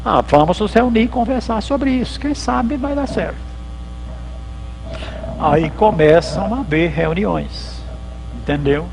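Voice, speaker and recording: A pastor gives a history lecture in a loose, storytelling way, slipping into little acted exchanges between people. His voice sits a bit high for a man and has a gravelly edge. A faint mains hum runs under the recording. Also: unhurried, pauses often, a little mellow, low-quality recording. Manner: conversational storytelling, acts out dialogues. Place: lecture hall at a theological symposium.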